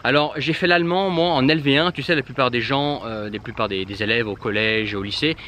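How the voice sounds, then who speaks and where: Fast-talking man with a faint background hum. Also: mid-range voice, bright, forceful tone. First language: a Germanic language. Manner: informal, addresses the viewer directly, many fillers and restarts. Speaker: vlogger giving language-learning advice. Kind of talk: casual direct-to-camera advice.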